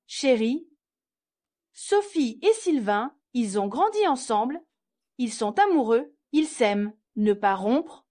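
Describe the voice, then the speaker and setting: A doting middle-aged mother with a high voice. She pleads protectively on her child's behalf. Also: lively intonation, takes it slowly, dark tone. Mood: pleading.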